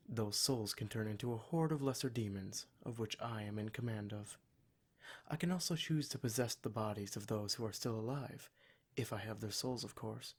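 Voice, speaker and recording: A man voicing a smug, menacing demon in an ASMR roleplay, speaking in a measured, formal, condescending way to his captive. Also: fairly low pitch, husky. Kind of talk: ASMR roleplay monologue addressed to the listener.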